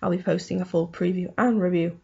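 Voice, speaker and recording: A woman with a hoarse voice from a cold, hosting a casual motorsport race review and rambling through it with lots of "um" and "you know". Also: lowish voice, fairly even intonation, a little fast, darkish tone, highs cut off. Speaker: motorsport video host.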